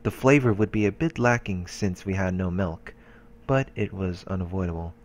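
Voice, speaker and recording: A man's voice: deep voice, moderate pace. A man hosting a let's play, reading game narration aloud with occasional casual asides.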